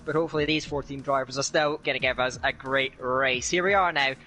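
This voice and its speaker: A young Scottish man commentating live on an online racing league, chatty and filling pauses with "uh". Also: mid-range voice, fairly even intonation, pushed and bright. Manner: chatty, with frequent "uh" fillers and a quick laugh. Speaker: esports race commentator.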